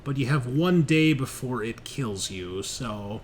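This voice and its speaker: A man's voice: medium pitch, expressive, unhurried, a loud crowd. Man game master narrating a tabletop game. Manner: dramatic in narration, casual swearing. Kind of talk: running a tabletop roleplaying game, casual narration.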